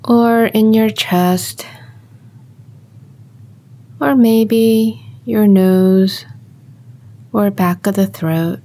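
A woman leads a guided body scan meditation in a calm, mellow voice. Her delivery is slow and gentle, with long pauses between short instructions. Her pitch sits in an average range for a woman.

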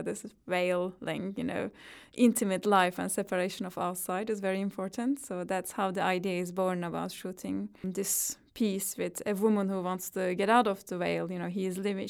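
A woman with a Turkish accent explains her ideas in a halting, reflective way, with light laughs between thoughts. Her voice has a gravelly, creaky texture at a middle pitch. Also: a little mellow. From Turkey. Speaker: artist guest. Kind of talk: interview conversation.

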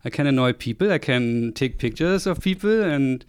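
A man's voice: medium pitch, expressive, normal speed, clear, resonant tone, no echo. A man from East Germany with a German accent, talking casually as an interview guest and telling stories. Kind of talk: casual conversational storytelling.

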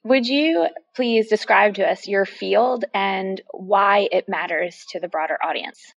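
A woman hosting a podcast, reading a scripted intro and asking measured, polite questions. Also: medium pitch, vocal fry, no echo, highs cut off. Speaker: podcast host.

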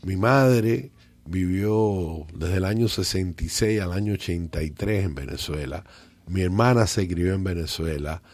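Low-voiced, deliberate older Cuban man. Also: a little mellow, no room sound. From Cuba. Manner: deliberate, halting, repeats words while thinking. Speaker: political analyst, studio guest on a radio show. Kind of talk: measured political discussion.

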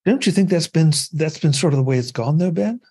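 A man's voice: on the high side, animated, brisk, darkish tone, husky. A man hosting a casual panel discussion, talking in a rambling, conversational way with many fillers. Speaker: podcast host.

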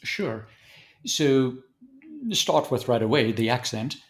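A man in his fifties with a Southern African accent, a guest in an interview, talking thoughtfully with frequent restarts and pauses. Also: mid-range voice. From born in Zambia, raised in South Africa. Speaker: guest consultant. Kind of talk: conversational interview.

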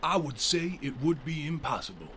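An actor playing a defensive, self-important, sweary superhero in a comedy sketch. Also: male voice, a little high, sing-song, normal speed, a bit bright. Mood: stiffly evasive. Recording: clearly noisy background.

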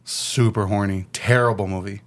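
A man hosting a podcast, chatting casually in an easygoing way with a slightly raspy voice, laughing often and restarting his sentences. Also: lowish voice, steady tone, moderate pace. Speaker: podcast host. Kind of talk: casual chat.

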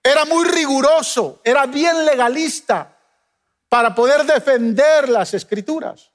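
Guatemalan male pastor, high-pitched, emphatic sermon delivery, reverberant church hall. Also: unhurried. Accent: Guatemalan Spanish. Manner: emphatic preaching, swings between loud peaks and soft drops.

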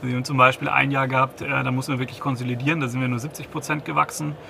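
A man's voice: medium pitch, level tone, racing, gravelly, background noise. A man in his thirties, a company founder, giving a business interview, hesitant, with many restarts and fillers. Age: 30s.

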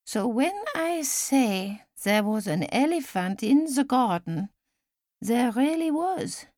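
A woman voicing a frail elderly woman who speaks formally and with gentle insistence, in an audiobook story. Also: a little high, animated, no echo, studio quality. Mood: earnest, gently wry. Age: older. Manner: measured, formal, gently insistent speech with few contractions.

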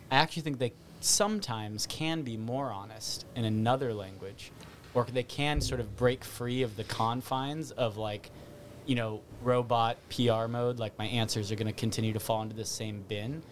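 A man working in marketing and content, chatty and quick to laugh, talking in bursts in a casual chat. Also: mid-range voice, a little hiss or hum. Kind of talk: casual podcast conversation.

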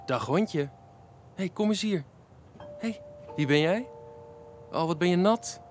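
An actor playing a kind, eager rookie fireman in a children's radio play, gently cooing and coaxing as to a dog. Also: high voice, animated, slow, faint music behind. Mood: gentle, caring.

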